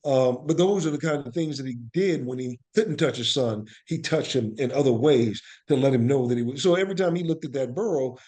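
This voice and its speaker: A man's voice: mid-range voice, brisk, few pauses, dark tone. A man speaks as a guest in a conversational interview, with a mid-range voice. He explains things earnestly and reflectively, repeating phrases to drive his points home. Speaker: interview guest, actor and playwright.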